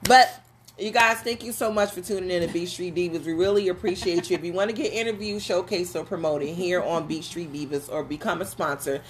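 A woman co-hosting a casual local radio show, playful and giving shout-outs. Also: on the low side, brisk, a little hiss or hum. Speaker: co-host.

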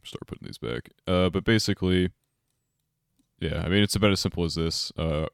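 A man's voice: low-pitched, a little fast, creaky, clean tone, no echo. A man hosting a casual podcast chat, laid-back with dry humor and frequent fillers. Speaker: podcast host.

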